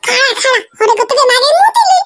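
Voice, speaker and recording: A performer voicing squeaky, childlike cartoon characters in comic skit dialogue, whiny, excitable, teasing and full of exclamations. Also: quick pace, exaggerated voice. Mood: scolding.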